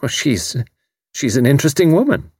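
A man's voice: mid-range voice, big pitch swings, moderate pace, darkish tone, husky, dry recording, clean studio sound, voice pushed up. A man voicing a polite, guarded middle-aged Englishwoman with an English accent and flustered hesitations in an audiobook. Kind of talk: audiobook character voice.

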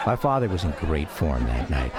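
A man's voice: very deep voice, big pitch swings, moderate pace. A man acting as a radio drama narrator, a grown son wistfully recounting memories of his father in scripted memoir style. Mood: fond, reflective.

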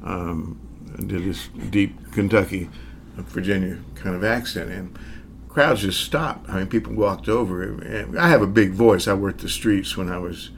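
A lowish-voiced man in his sixties tells stories about his career as a magician. His speech is hesitant and reflective, full of pauses and fillers, with occasional chuckles. Faint background noise sits under the conversation. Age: 60s. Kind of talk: relaxed storytelling in an interview.

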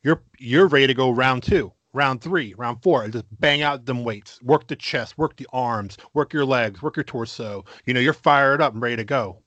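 A man co-hosting a casual comedy podcast, crude and boastful, with slow, emphatic bragging. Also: medium pitch, fairly bright tone, no room sound, lo-fi sound. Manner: crude, boastful mock-macho bits, slow emphatic delivery. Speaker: podcast co-host.

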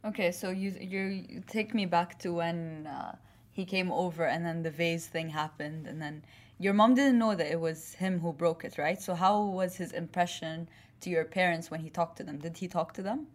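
Woman interviewer, kinda low voice, short questions. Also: steady tone, normal speed. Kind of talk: casual chat.

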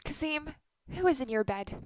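A woman's voice: high voice, lively intonation, normal speed, slight background noise. A woman playing a blunt, sardonic middle-aged wife with a bitter tongue in a radio play. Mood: suspicious.

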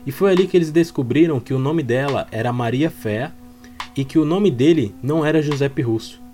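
Man, Brazilian accent, true crime narrator, storytelling delivery, plain informal explanatory manner, mid-pitched voice, faint music underneath. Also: a little fast, dark tone. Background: background music.

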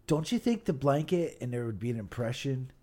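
A man's voice: medium pitch, very animated, fairly quick, dark tone. A man co-hosting a podcast in casual chat, griping comically with plenty of profanity. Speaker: podcast co-host.

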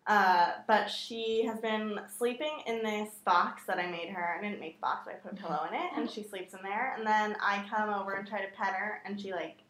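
Woman podcast co-host, casual and chatty, mid-pitched, in an echoey room. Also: fairly even intonation, a little fast, hardly any pauses, a bit bright. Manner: chatty, laughs easily, trailing asides. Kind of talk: casual chat. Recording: roomy echo.

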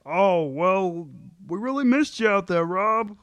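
A very high-voiced, whiny grown son from a sitcom acted out by a man. He takes his time, pleading and making defensive excuses with emphasis, in a dry recording. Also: expressive, slow, fairly bright tone, no room sound, heavily acted. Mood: coaxing. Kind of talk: sitcom table read.

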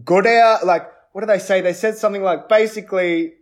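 A man with an Australian accent telling personal stories in casual chat, in an animated, halting way that often restarts mid-thought. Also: sits high. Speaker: comedian.

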